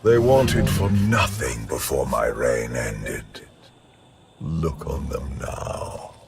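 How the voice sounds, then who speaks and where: Video game ruler, ancient and imperious, dragging each phrase with sweeping intonation and grandiose menace, gravelly. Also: male voice, a bass voice, animated, music in the background, exaggerated voice. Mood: brooding disdain. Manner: slow, grandiose, pompous. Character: menacing ghostly fallen male emperor, ancient and imperious. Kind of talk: scripted video game drama acting. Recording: noisy game ambience behind.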